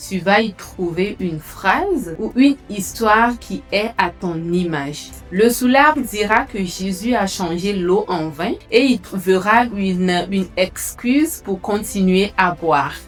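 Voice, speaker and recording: A woman's voice: medium pitch, takes it slowly, few pauses. Female host, casual to-camera talk, asks the viewer questions, faint background music. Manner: conversational, asks the listener questions.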